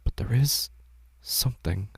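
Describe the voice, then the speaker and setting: A man narrating a scripted horror story in a hushed, slow delivery that often drops to a whisper, recorded on a close studio mic. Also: medium pitch, creaky, husky, dry recording. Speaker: narrator and host.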